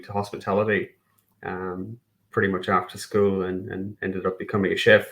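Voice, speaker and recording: A man's voice: low-pitched, a bit bright. A middle-aged man with a Kerry Irish accent, a guest in a casual podcast interview, joking at his own expense and restarting his sentences often. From Ireland. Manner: self-deprecating humor, frequent restarts and fillers.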